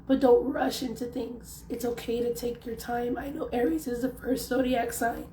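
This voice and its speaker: A young woman with a hoarse, worn-out voice, chatting casually through a tarot reading and leaning on lots of fillers. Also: fairly high pitch, fairly even intonation, normal speed, dark tone. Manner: chatty, loose, filler-heavy. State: hoarse, losing her voice. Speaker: tarot reader. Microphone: phone. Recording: echoey room, faint background noise.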